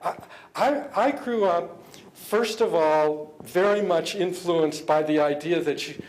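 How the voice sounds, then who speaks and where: An older man giving a public speech to an interfaith gathering. He talks earnestly and haltingly, often repeating words as he searches for the next phrase. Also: fairly high pitch, darkish tone, rough recording. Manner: earnest, measured. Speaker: government official speaking at an interfaith event. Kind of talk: public speech with prepared remarks.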